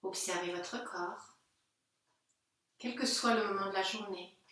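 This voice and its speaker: A woman teaching yoga, guiding a relaxation session gently and unhurriedly in short phrases with pauses. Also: mid-range voice, fairly even intonation. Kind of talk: guided Yoga Nidra relaxation instruction. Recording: very echoey room, distant microphone.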